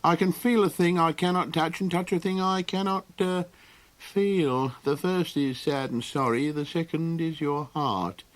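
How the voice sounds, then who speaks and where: A man's voice: a little high, fairly even intonation, unhurried, pitched above the performer's own voice, close to an everyday voice. A man doing a dithering old Golox creature. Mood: boastful. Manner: rambling, hesitant with many ums. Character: fumbling old male Golox creature, scatterbrained. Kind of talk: audiobook character voice.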